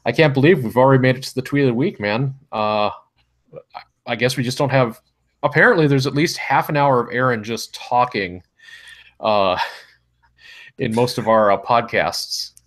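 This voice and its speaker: A man's voice: medium pitch, normal speed, long pauses, fairly bright tone. A man in his thirties hosting a casual podcast, upbeat and a little rambling, speaking into a headset mic in a small room at home. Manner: upbeat, rambling, filler-heavy. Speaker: podcast host.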